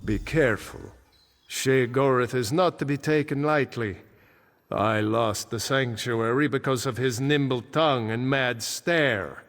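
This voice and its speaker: An actor playing a solemn ancient archmage back from death, speaking gravely and formally in fantasy video game dialogue. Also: male voice, medium pitch, unhurried, a bit bright. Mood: grave, warning. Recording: echoing game hall with long reverb.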